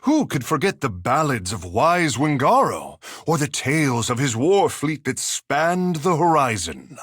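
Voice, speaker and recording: A man's voice: mid-range voice, sing-song, a little slow, fairly bright tone, breathy edge, no echo, studio quality, heavily acted. An actor voicing a vain, charming young sea-blessed fish-man in a video game, with theatrical, grandiloquent, teasing delivery and formal phrasing. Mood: courtly, teasing. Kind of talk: video game character dialogue.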